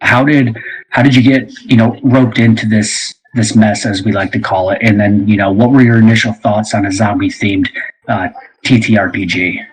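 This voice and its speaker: A man's voice: fairly low pitch, steady tone, moderate pace, slightly rough, low-quality recording. A man speaking casually as a host, rambling along with plenty of you-knows. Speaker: host and game creator. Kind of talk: casual conversational interview.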